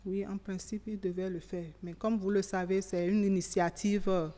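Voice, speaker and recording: A woman giving measured, explanatory interview answers as an expert, often rephrasing as she goes. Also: mid-range voice, level tone, warm tone. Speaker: expert interviewee on local government. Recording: reverberant hall sound.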